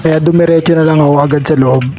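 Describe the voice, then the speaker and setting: A man's voice: fairly high pitch, fairly even intonation, fast talker, mellow, a little hiss or hum. A man with a Filipino accent doing voice-over narration for a vlog. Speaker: vlogger.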